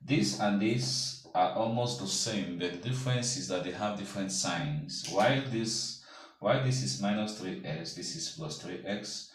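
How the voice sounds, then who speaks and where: A man's voice: on the low side, unhurried. A man tutoring a math lesson step by step, patiently checking whether the viewer follows. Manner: patient, checks in with "okay?" and "can you see that?". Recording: echoey room, mic far off.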